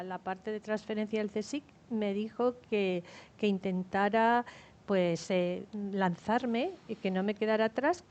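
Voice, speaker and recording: A woman entrepreneur on a panel who speaks haltingly, with fillers. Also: mid-range voice, a little mellow, muffled recording. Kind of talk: panel discussion.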